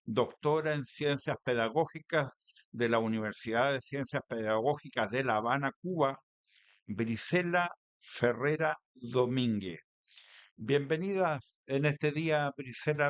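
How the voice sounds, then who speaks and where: Slow, clear-voiced Chilean radio host, male. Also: mid-range voice, no echo. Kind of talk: radio interview hosting. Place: radio studio.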